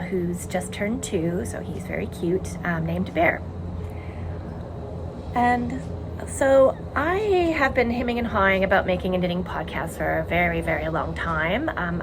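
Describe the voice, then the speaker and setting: A woman in her forties from Montreal with a Canadian accent, hosting a casual knitting podcast from home and rambling warmly with many ums and uhs. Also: medium pitch, background noise. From Montreal, Quebec, Canada. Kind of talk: casual chat. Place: home in a tiny house.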